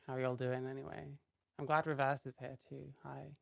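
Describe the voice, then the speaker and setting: A young British man, a podcast guest, talking in a hoarse, laid-back and hesitant way, tired late at night. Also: mid-range voice, level tone, moderate pace, dry recording, clean studio sound. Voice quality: hoarse, losing voice. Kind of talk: casual chat.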